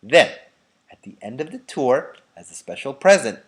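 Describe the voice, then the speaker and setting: A man voicing an excited father in a storybook, reading out a newspaper notice loudly and emphatically. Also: medium pitch, moderate pace, natural voice. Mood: excited, proclaiming. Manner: loud, emphatic, declaiming.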